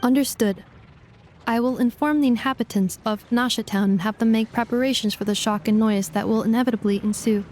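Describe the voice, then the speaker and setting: A woman's voice: on the high side, fairly even intonation, brisk, a little mellow, slight background noise, close to an everyday voice. A woman voicing a precise, polite female android helper in a video game cutscene, formal, literal and clipped. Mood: calm precise. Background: game music.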